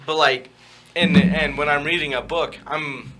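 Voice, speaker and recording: A young man co-hosting a radio show in casual chat, rambling and halting as he searches for words. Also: on the high side, steady tone, pushed and bright, poor audio. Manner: rambling, halting, many restarts and "uh"s.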